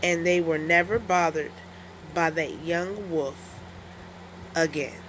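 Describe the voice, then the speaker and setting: A woman storyteller narrating a children's story in an expressive, deliberate read-aloud style. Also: on the low side, a little hiss or hum, low-quality recording.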